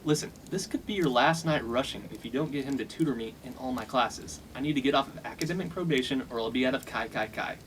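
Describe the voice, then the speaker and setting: An actor playing a bullying, dim young fraternity boss in a comedic radio play, blunt and domineering. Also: male voice, medium pitch, fast talker, few pauses, fairly bright tone, slight background noise, lightly acted, rough recording. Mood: threatening.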